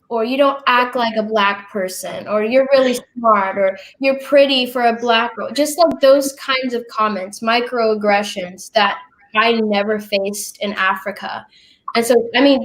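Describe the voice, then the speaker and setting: A young woman from Ethiopia, a recent high school graduate, thoughtfully sharing her own experiences in a panel discussion. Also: a bit bright, low-quality recording. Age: young adult. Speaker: panel guest. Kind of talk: casual discussion.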